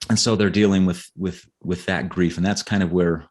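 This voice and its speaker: Very low-voiced man, halting explainer. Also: fairly even intonation, darkish tone. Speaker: author interviewed as a podcast guest. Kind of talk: conversational interview, explaining history and his novels.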